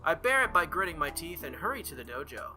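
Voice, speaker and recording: Bright, animated male gamer with music behind. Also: a little high, quick pace. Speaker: gaming channel host. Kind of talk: visual novel playthrough, reading text aloud with casual commentary.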